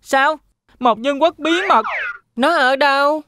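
A woman voicing an excitable, impatient little brother in a children's fairy-tale cartoon. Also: sits high, a little slow, slight background noise. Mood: excited, curious. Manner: excited, eager.

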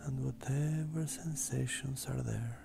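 Super slow soft breathy meditation voice, bit gravelly, almost whispering, gentle, noisy ambient background. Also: mid-range voice, fairly even intonation. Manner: gentle, unhurried, long pauses. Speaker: meditation guide. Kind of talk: guided meditation. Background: ambient meditative music.

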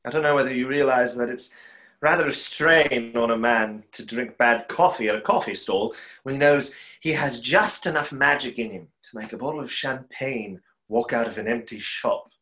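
A young man acts a tormented, proud conjurer in a stage play. His medium-pitched voice is earnest and dramatic, heavy with remorse. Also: normal speed, pushed and bright, natural voice. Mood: remorseful. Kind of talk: online play reading. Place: small room, indoors.

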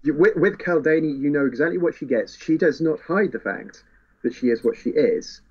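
A man's voice: a little high, moderate pace, a little mellow, breathy edge. A man in a casual book discussion, telling the story with wry relish. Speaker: podcast co-host. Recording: dull, muffled lo-fi audio.

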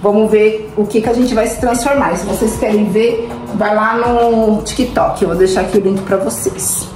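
A woman's voice: medium pitch, fairly even intonation, normal speed. A Brazilian woman chatting casually and dramatically to camera and a friend in an echoey kitchen, heard from a distance. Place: home kitchen, indoors. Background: music.

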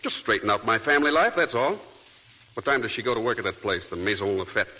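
A cold, calm escaped killer in a 1940s radio play. He speaks low and threatening, with a bright, lively tone. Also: quick pace. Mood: menacing. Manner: quiet and threatening. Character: menacing escaped male murderer, coldly calm. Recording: old noisy radio broadcast.

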